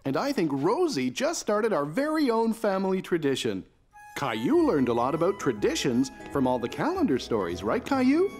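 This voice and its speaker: A man playing a gentle, playful young dad in a preschool cartoon, with an expressive storybook way of talking. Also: a little high, normal speed. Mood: warm. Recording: music behind the voice.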